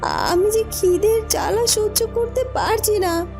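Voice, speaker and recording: A woman's voice: extremely high pitch, unhurried, over music. A woman voicing a poor, meek, hungry orphan village girl in a cartoon, pleading and submissive. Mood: meek, apologetic. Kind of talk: cartoon story acting.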